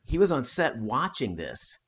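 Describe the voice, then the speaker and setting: A man's voice: mid-range voice, very animated, a little slow, a bit bright. A man telling history stories in a casual, conversational way as a podcast guest. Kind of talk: casual chat, storytelling about history.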